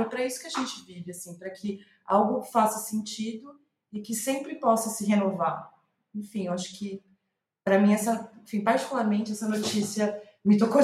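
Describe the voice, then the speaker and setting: A Brazilian woman talks reflectively about her own writing in a clearly echoey room. Her pitch sits in the middle, and she speaks thoughtfully, searching for the right words. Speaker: playwright, guest. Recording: roomy, echoing sound.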